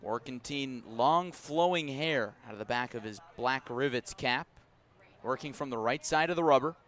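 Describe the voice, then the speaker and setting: A man doing live baseball play-by-play for radio, describing each detail in a measured way and punching up the action. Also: mid-range voice, fairly bright tone. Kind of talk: live sports commentary. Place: outdoor ballpark broadcast.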